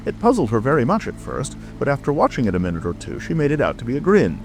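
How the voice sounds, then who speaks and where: A man's voice: medium pitch, wildly swooping pitch, quick pace, darkish tone. A man narrating a storybook as a dramatic, scripted audiobook reading. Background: music.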